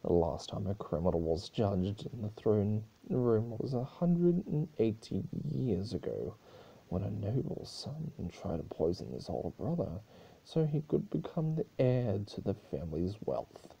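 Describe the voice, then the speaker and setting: A man's voice: on the low side, big pitch swings, a little slow, vocal fry, dark tone, husky, poor audio. A man narrating a story aloud as a dramatic reading.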